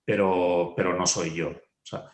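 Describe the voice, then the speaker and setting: A man's voice: sits low, level tone, a little slow, reverberant. A man from Spain, an author being interviewed, answering thoughtfully with many fillers, restarts and questioning tags. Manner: hesitant, many fillers and restarts, ends phrases with a questioning tag. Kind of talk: reflective conversational answer.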